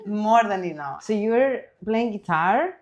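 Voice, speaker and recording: A woman's voice: mid-range voice, animated, a little slow, highs cut off. A woman hosting a podcast interview, asking questions and adding brief encouraging remarks. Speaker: podcast host. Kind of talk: casual interview.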